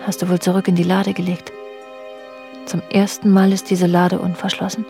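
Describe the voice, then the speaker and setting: A hushed, mellow, brooding wife. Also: female voice, on the low side, monotone, vocal fry, soft background music. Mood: quiet, searching. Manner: hushed, halting, often dropping into whispers. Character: brooding, suspicious middle-aged wife named Ursula. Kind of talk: intimate German radio drama acting, dialogue and inner monologue.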